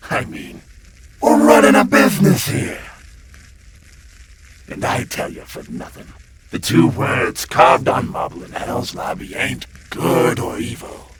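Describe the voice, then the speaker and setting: A man's voice: mid-range voice, wildly swooping pitch, unhurried, noisy background. Swooping, wisecracking demon salesman. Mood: dismissive, smug. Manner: slangy, glib, theatrical sales patter. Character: wisecracking sleazy demon guide of hell, male salesman type. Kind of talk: comic-book dub voice acting. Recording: loud music under the voice. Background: prominent music.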